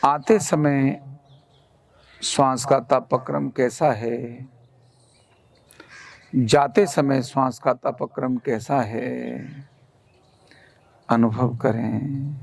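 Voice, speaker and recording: A man guides a relaxation meditation at an unhurried, very slow pace. His mid-pitched voice has a noticeable creak. He gives calm, soothing instructions as gentle, repeated commands.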